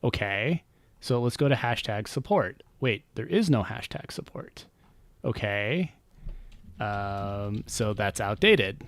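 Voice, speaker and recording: A man's voice: fairly low pitch, animated, slow, no echo. A man chatting casually on a game stream, rambling and wry, often trailing off with um and uh.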